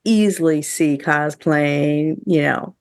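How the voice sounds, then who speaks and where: A woman's voice: low voice, takes it slowly, darkish tone, no echo, clean studio sound. A woman podcast host chatting casually and giving her opinions, with a lot of "like" thrown in. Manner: chatty, heavy use of "like", opinionated. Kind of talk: casual review chat among co-hosts.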